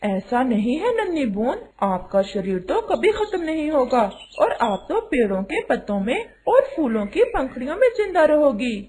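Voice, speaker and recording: A woman's voice: sits high, sing-song, moderate pace, few pauses, a little mellow. A woman voicing plain-spoken bird and cloud friends in a children's cartoon, in a matter-of-fact manner. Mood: friendly, eager. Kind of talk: Hindi children's cartoon voice acting.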